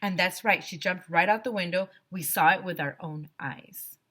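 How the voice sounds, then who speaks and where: A woman teacher reading a children's storybook aloud in an expressive narrating style. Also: lowish voice, normal speed.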